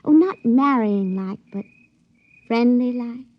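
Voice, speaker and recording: A woman's voice: a little high, slow. An actress playing a naive, earnest young backwoods woman with a rural American hillbilly accent, in 1950s radio drama style. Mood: shy, tender. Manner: folksy, plainspoken, earnest. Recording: dull vintage radio recording.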